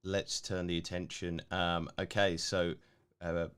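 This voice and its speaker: Male co-host, low pitch, a bit gruff, laid-back question reader. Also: measured pace. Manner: relaxed, filler-heavy, laughs easily. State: slightly gruff voice. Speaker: co-host reading viewer questions. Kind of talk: casual live stream chat.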